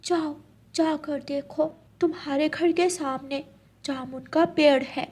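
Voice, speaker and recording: Woman voicing a high, friendly talking apple. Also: steady tone, slow pace, darkish tone. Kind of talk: Hindi cartoon story dubbing.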